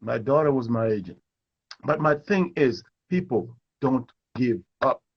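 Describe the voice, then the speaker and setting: A man's voice: mid-range voice, unhurried, husky, highs cut off. A middle-aged man from Kenya, an actor, telling stories in an interview and acting out the voices of people he quotes. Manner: animated storyteller who acts out quoted voices. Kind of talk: storytelling in a live interview.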